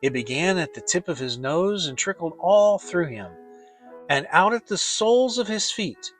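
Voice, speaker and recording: A man giving an expressive, dramatic reading of a children's story as narrator. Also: high-pitched, normal speed, faint music behind, rough recording. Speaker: audiobook narrator.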